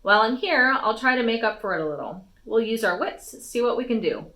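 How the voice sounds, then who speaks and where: A woman voicing a stern, vehement warrior noblewoman and mother in a storybook, speaking emphatically and deliberately. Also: on the high side, lively intonation, pushed and bright, voice pushed up. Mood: regretful, resolute.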